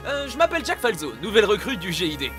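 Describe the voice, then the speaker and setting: An eager rookie agent played by a man in an audio drama, youthful and easily flustered. He talks fast in a high, very animated voice, with music behind him. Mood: nervous, starstruck. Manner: hesitant, excitable, touchy. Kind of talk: French audio drama acting. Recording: music under the voice.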